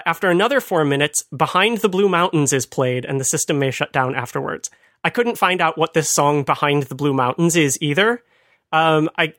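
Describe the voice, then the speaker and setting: A man's voice: fairly high pitch, fairly bright tone, no echo, studio quality. A man hosting a podcast, explaining things in a casual, chatty way and laughing at his own asides. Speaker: podcast host.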